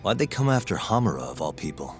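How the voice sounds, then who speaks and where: Very low-voiced, laid-back detective. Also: male voice, sing-song, fast, soft background music. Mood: probing, businesslike. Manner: casual, laconic, understated. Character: laid-back, dry-witted male private detective. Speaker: video game voice actor. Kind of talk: English game dub dialogue.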